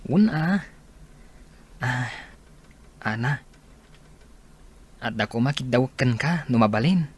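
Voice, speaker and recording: A man playing a concerned, gently persuasive young student, earnest and questioning in an amateur audio drama. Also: mid-range voice, lively intonation, takes it slowly, long pauses, slightly rough. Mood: hesitant, hopeful. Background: faint music.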